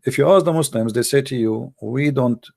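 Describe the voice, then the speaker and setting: A man with a dark, creaky voice and as his hosts a live call-in show. He argues emphatically, repeating his phrases with a mocking edge. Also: mid-range voice, fairly quick. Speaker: host of a religious debate call-in show. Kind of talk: live call-in commentary and polemic.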